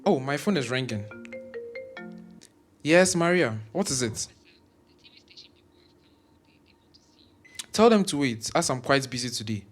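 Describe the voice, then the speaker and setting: A haughty senior professor of medicine with a Ghanaian accent, acted by a man for an audio drama. His voice sits at a middle pitch. He speaks in pompous, wordy phrases and scolds everyone beneath him. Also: animated, moderate pace, faint music behind, lightly acted. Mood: brisk, self-important. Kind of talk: scripted audio drama acting.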